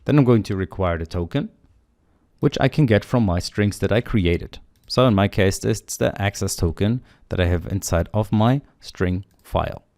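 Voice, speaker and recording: A man's voice: lowish voice, moderate pace, vocal fry, a little mellow, no echo, clean studio sound. A man teaching a coding tutorial, steadily explaining each step aloud while working through the code. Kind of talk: screen-recorded coding walkthrough.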